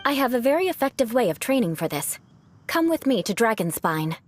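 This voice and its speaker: A woman's voice: fairly high pitch, sing-song, fairly quick, a little hiss or hum. An actress playing a proud, aristocratic young female knight in a video game, formal and composed in her speech. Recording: game audio with faint music. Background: faint game music.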